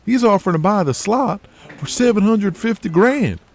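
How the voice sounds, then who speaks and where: A man voicing a nervous, dumbstruck office underling in an audio drama, flustered and excitable. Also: high-pitched, normal speed, slight background noise, pitched above the performer's own voice.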